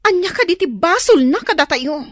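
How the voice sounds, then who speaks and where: A woman's voice: extremely high pitch, animated, fairly quick, dry recording, studio quality. A woman acting a worried young daughter in a radio drama, earnest and pleading. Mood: distressed, worried.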